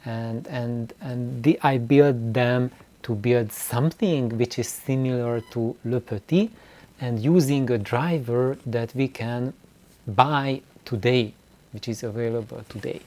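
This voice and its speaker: A man hosts a casual, unscripted vlog in a mellow, relaxed voice of middling pitch. He takes his time, speaking slowly and haltingly. He rambles and often repeats a word as he goes. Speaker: YouTube host of a DIY loudspeaker channel. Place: small room indoors.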